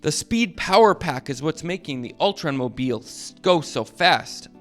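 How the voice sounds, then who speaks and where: A man reads the part of a brash superhero captain in a children's storybook. He is earnest and urgent, with lively swings in a fairly high voice, over faint music. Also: normal speed. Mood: determined. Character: brash, eager male superhero captain set on speed.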